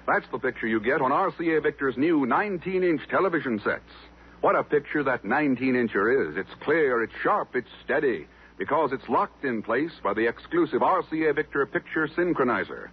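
A man's voice: medium pitch, animated, brisk, husky. A male announcer delivering scripted 1950s radio copy in a polished, emphatic way. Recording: tinny 1950s radio recording, music behind.

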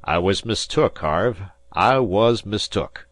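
A man puts on a grudging old schooner captain who drags each admission out in a low, rustic drawl. The delivery is slow and dry, with the voice kept down in its lower range. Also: animated, no room sound. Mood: gruff.

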